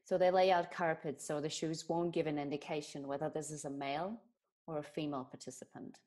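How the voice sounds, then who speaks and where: A woman's voice: low voice, steady tone, fairly quick, a little mellow. A woman from Germany giving an earnest, explanatory talk on bias and inclusion. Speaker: speaker on diversity and inclusion.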